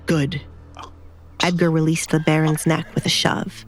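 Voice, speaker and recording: Low-voiced woman narrating a dramatic story. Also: monotone, moderate pace, slight background noise. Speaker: audiobook narrator. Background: background music.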